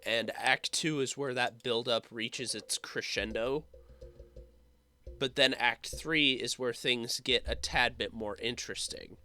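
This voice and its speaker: A young man who is sick with a sore throat, talking in a rambling, halting way full of fillers for his casual vlog update. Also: medium pitch, fairly even intonation, bright, forceful tone, a little hiss or hum. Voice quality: slightly rough, hoarse voice. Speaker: YouTuber.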